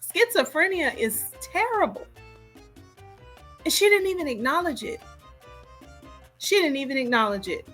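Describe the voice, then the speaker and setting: A woman's voice: high-pitched, sing-song, unhurried, pauses often, fairly bright tone. Woman giving emphatic casual commentary, music behind. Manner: emphatic, disapproving, repeats points for emphasis. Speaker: host reacting to a recorded call.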